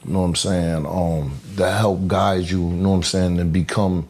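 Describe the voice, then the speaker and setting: A man hosting a podcast interview, halting and earnest, with many fillers and restarts. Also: sits low, level tone, a little mellow. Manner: halting, many fillers and restarts, says "you know what I'm saying"; devout, earnest. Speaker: podcast host. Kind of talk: interview conversation.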